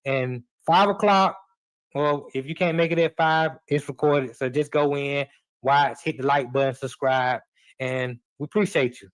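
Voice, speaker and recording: A man's voice: mid-range voice, steady tone, unhurried, dry recording, poor audio. A middle-aged man talking sports casually as a podcast guest, loose and encouraging, with many restarts. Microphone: remote live stream.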